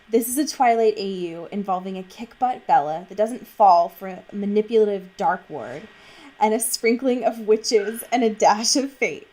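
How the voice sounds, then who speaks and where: A woman co-hosting a podcast in casual banter, playful, slangy and quick to swear. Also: mid-range voice, darkish tone, low-quality recording. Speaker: podcast co-host.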